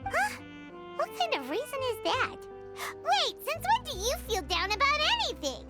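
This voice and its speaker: A woman voicing a tiny, childlike, nosy floating companion in a video game, squeaky, exclamatory and easily startled. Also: wildly swooping pitch, moderate pace, exaggerated voice. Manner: exclamatory, inquisitive, refers to self in third person. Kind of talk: video game cutscene acting. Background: loud game music.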